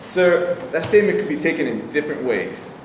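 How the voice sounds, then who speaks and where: A man's voice: fairly high pitch, lively intonation, fairly quick, lightly acted. A man playing an earnest, troubled young soldier in a staged reading, plain-spoken in manner. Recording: echoey room, distant mic, faint noise.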